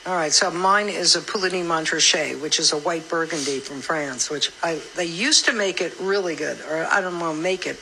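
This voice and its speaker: A woman's voice: low-pitched, moderate pace, few pauses, bright, forceful tone, a little hiss or hum, low-quality recording. A woman co-hosting a morning radio show, chatting casually and jokingly as she interviews a guest. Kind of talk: casual live interview chat.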